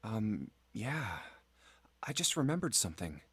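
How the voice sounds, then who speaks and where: An actor playing a curious young man in a video game, eager and thinking out loud. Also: fairly low pitch, sing-song, measured pace, breathy edge, no echo, full character voice. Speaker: voiced game protagonist.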